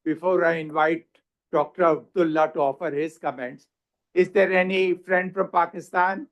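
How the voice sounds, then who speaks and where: A man's voice: fairly high pitch, steady tone, moderate pace. A man moderating a panel discussion, courteous and formal as he invites speakers. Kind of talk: chairing a panel.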